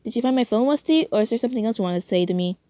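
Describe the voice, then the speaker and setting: A woman's voice: fairly high pitch, animated, rapid-fire, dark tone, no echo, natural voice. Woman as a blunt, hot-tempered protective brother. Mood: questioning.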